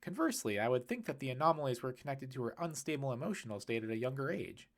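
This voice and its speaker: Man doing a stuffy science guy from a storybook, formal, precise, pompous, mid pitch. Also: very animated, fast talker. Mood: pedantic. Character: pedantic, huffy scientific man, wordy and formal.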